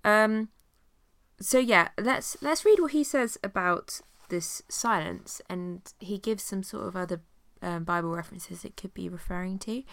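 A woman's voice: medium pitch, unhurried, creaky, fairly bright tone, no echo. A woman teaching an informal Bible study. She has a cold and sounds nasal, with many fillers as she reads passages aloud.

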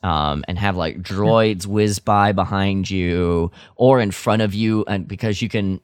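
A man's voice: deep voice, a little slow, smooth, no echo, studio quality. A man co-hosting a podcast, casually discussing films and thinking aloud as he gives his opinions. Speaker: podcast co-host. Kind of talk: casual film discussion chat.

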